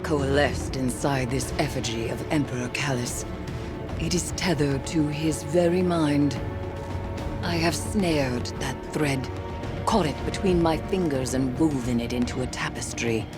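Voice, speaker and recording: A woman playing a grave, cryptic mystic guide in a game cutscene, speaking in a solemn, ominous and measured way. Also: very deep voice, loud music behind the voice. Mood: urgent, ominous. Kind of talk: dramatic game cutscene acting.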